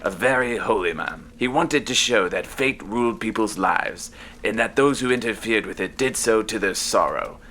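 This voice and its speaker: A man's voice: fairly low pitch, normal speed, bright, forceful tone. A man playing a burly, world-weary middle-aged sergeant major, grave and mysterious, in a dramatized story reading. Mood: solemn.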